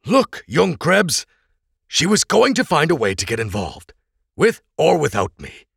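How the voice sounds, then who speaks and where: A weary, protective rebel-leader father speaks earnestly as a man reads him. His voice is gravelly and sits higher than the narration, with a lively swing in pitch. It comes through dry and close for a storybook reading. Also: measured pace, no echo, heavily acted. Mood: explaining.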